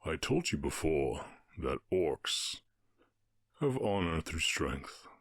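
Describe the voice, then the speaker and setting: A man voicing a calm, commanding orc warchief in an ASMR roleplay, with a husky voice and a measured, condescending, teasing manner. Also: dry recording, studio quality, exaggerated voice. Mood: calm, stern. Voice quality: very deep, husky.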